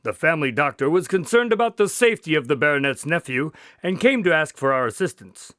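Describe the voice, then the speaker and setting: A man's voice: fairly high pitch, animated, quick pace, bright, forceful tone, clean tone, no echo, studio quality. An actor playing a genial male doctor who recounts detective adventures in a radio drama, polite and wry. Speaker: storyteller and narrator. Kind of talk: modern radio drama acting.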